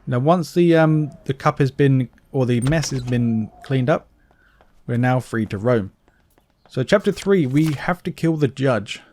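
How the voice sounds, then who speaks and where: A man's voice: mid-range voice, unhurried, a little mellow. Man giving an offhand walkthrough, noisy mic. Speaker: video game walkthrough host. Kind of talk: casual gameplay walkthrough commentary.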